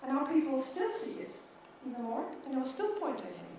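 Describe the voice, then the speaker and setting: A middle-aged woman with a Swedish accent speaks English to a conference audience, and she often stutters and repeats her words. Her voice sits high. It comes from a distance in a large, echoing hall, with faint noise underneath. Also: sing-song, fairly quick, a little mellow. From Sweden. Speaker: keynote speaker. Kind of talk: conference keynote speech. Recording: echoey hall, mic from far off.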